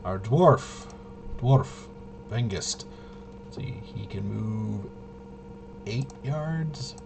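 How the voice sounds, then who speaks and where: A man with a fairly low voice talks very slowly over clear background noise. He gives casual commentary on a tabletop game he plays alone. His delivery is halting, as if muttering to himself while he works out each move. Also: lively intonation. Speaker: tabletop RPG player and presenter. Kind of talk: casual solo gameplay commentary, thinking aloud.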